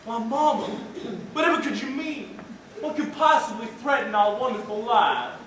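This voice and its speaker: A woman's voice: fairly high pitch, animated, normal speed, lightly acted. A teenage girl playing a sweet, naive, earnest young daughter in a stage melodrama. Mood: sweetly cheerful. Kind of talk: high school stage melodrama. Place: large school theatre stage, filmed from afar. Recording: echoey auditorium from far off, faint noise.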